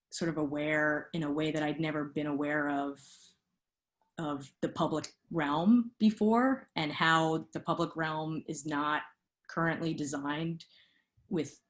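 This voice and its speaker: Interview guest: thirties woman, low-pitched, thoughtful, filler-heavy delivery. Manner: reflective, with many fillers. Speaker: guest, lighting designer. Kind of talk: conversational interview.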